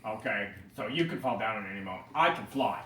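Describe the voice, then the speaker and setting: A woman's voice: rumbling low, lively intonation, quick pace. A woman voicing a childlike, forgetful and vain dragon in an improvised puppet show, stammering and bursting out dramatically. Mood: defensive. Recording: echoey room, distant mic, faint noise.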